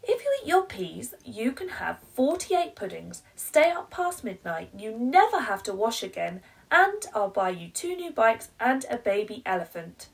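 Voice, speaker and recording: A woman's voice: a little high, sing-song, unhurried, vocal fry, hardly any pauses, recorded from a distance, pitched above the performer's own voice, heavily acted, rough recording. A woman voicing an exasperated, pleading mum from a children's picture book, piling up promises emphatically to bargain with her child. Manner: breathless piled-up promises, emphatic.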